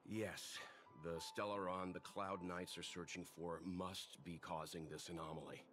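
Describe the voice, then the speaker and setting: A man playing a grave, composed and learned traveler in a video game, speaking in a measured, serious way. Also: sits low, steady tone. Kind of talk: scripted video game voice acting.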